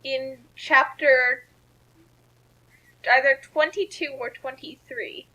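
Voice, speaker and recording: High, bright-voiced woman riffing with friends. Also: steady tone, takes it slowly, poor audio. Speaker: commentary host. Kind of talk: casual riffing commentary with friends. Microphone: online voice call.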